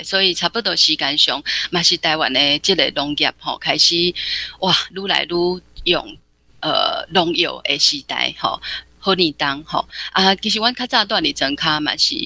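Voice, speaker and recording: A radio guest from Taiwan reflecting on a novel, speaking thoughtfully with frequent pauses. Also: medium pitch, creaky, pushed and bright, no room sound. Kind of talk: literary commentary.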